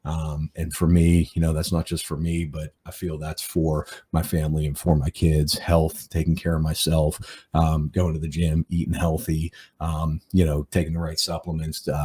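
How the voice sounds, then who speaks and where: A man's voice: rumbling low, mellow, slightly rough. A man in his forties talking through a casual podcast monologue, rambling with many fillers and repeated words. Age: 40s. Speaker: podcast host.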